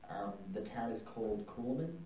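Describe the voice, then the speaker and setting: A man's voice: on the low side, expressive, normal speed, warm tone. A young Australian man giving a thoughtful, searching personal account as an interviewee. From New South Wales, Australia. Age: young adult. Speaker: interviewee, performing arts student. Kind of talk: reflective personal testimony. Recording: distant, dull, roomy with faint hum.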